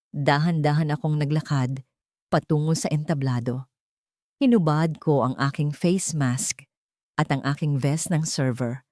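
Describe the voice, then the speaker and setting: Low-voiced Filipino woman telling a dramatic story. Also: darkish tone, no room sound, clean studio sound. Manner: rises in pitch and volume for quoted dialogue. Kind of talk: dramatic first-person audio story narration.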